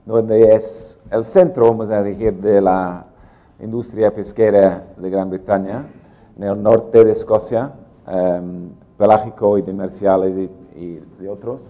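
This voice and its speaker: Man speaking halting Spanish with an English accent. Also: on the low side, steady tone, muffled recording. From England. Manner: halting Spanish with many "em" fillers. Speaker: guest speaker. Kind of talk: technical lecture.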